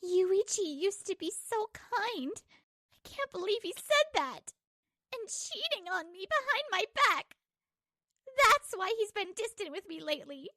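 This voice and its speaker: Actress as betrayed young wife, high-pitched voice, emotive and confiding, manga drama narration, dry close sound. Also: female voice, bright, forceful tone, no room sound, exaggerated voice. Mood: shocked, hurt. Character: hurt betrayed young wife narrating her troubles, growing resolute. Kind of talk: dramatised first-person manga dub narration.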